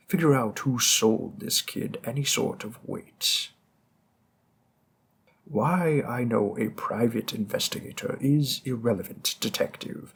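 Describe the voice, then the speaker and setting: A man's voice: medium pitch, creaky, fairly bright tone, breathy edge, heavily acted. A man playing a smug, flirtatious mob boss who teases a detective and drops veiled threats. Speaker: audio roleplay performer. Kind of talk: scripted audio roleplay.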